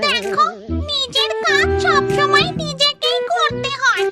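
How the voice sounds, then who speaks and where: Cartoon she-ghost: extremely shrill, obliging yet grumbly, music loud behind. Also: slow pace. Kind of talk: cartoon character voice acting.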